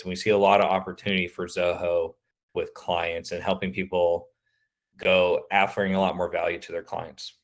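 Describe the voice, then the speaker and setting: A man presenting a webinar, his voice a little raspy and hoarse, talking casually with many fillers. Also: low-pitched. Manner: casual, filler-heavy, loose explanations. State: slightly hoarse.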